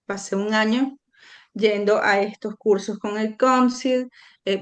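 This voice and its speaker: A middle-aged woman from Venezuela, a guest recounting her own story in an earnest, reflective way with frequent hesitations. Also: medium pitch. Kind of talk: personal storytelling in an interview.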